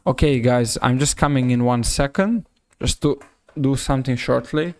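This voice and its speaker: A man with a Serbian accent giving live chess commentary on a stream, halting and often rephrasing himself. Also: medium pitch, a little mellow, dry recording.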